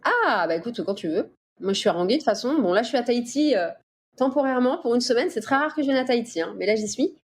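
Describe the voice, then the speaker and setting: A middle-aged woman from the Paris region answering interview questions in a casual, explanatory way with hesitant pauses. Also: a little high, expressive. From Paris region, France. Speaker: interview guest, dolphin researcher. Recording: clear background ambient noise.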